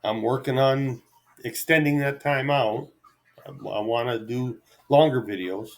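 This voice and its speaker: Halting, easygoing middle-aged man vlogging. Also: medium pitch. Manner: halting, easygoing, self-deprecating. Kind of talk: unscripted solo ramble to camera.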